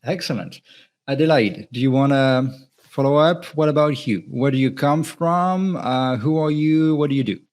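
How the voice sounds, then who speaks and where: A man's voice: medium pitch, moderate pace, a little mellow. A man moderating a panel, asking guests loose, friendly questions.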